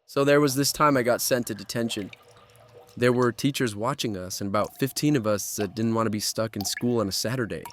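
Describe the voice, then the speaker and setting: A man's voice: mid-range voice, brisk, lightly acted. A man playing an anxious teenage boy lost in a nightmare world, in a horror audio drama. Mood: resolving. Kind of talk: horror audio drama acting and first-person narration.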